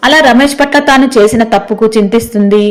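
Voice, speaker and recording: A woman's voice: a little high, fast talker. A woman reading a scripted story as its narrator. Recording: music behind the voice.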